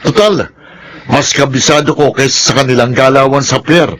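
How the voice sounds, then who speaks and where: A man's voice: medium pitch, normal speed, a bit bright, slight background noise, natural voice. A man playing a pushy, middle-aged uncle with an insistent, confrontational way of talking, in a Filipino radio drama. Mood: aggrieved. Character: pushy, aggrieved uncle demanding his share at the port.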